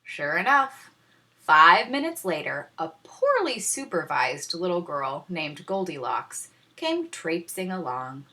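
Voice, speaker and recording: A woman reads a children's story aloud like a teacher. Her voice is bright and fairly low, very lively in pitch, and unhurried, with tongue-in-cheek pauses. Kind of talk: animated children's storybook narration.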